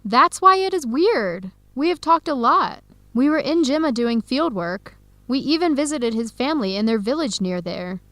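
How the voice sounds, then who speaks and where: An actress playing an earnest young researcher who confides carefully and clearly in an English-learning radio drama. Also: female voice, high voice, animated, normal speed, fairly bright tone, dry recording. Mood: troubled, confiding.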